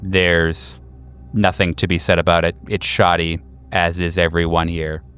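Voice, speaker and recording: A man narrating a tabletop horror game as game master, in a measured, descriptive storytelling manner. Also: deep voice, flat delivery, fairly bright tone, clean tone.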